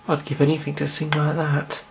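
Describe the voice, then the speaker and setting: A performer doing a gentle, kindly young man. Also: medium pitch, monotone, a little slow, creaky, noisy background.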